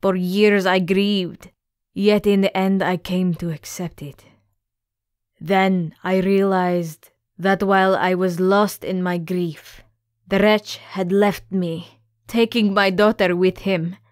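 A woman's voice: medium pitch, fairly even intonation, slow pace, no echo, clean studio sound, close to an everyday voice. A woman voicing a furious ancient sea monster, a vengeful grieving mother who accuses and commands. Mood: furious accusing.

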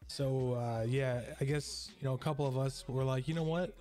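A man's voice: medium pitch, level tone, normal speed, a little mellow, soft background music. A young man who streams games, chatting casually into a close microphone. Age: young adult. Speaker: gaming streamer. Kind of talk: casual chat to camera. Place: dark indoor gaming room. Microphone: close broadcast mic on boom arm, headset on.

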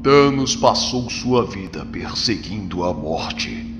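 Man, Brazilian accent, dramatic comic narration, unhurried, heavy reverb, music underneath. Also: low voice, expressive. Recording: long reverb, music behind.

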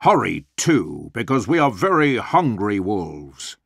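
Woman putting on a deep, gruff father wolf. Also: sing-song, slow, no echo, clean studio sound, heavily acted. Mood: commanding. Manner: gruff, scolding, commanding. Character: stern adult male father wolf, gruff, scornful.

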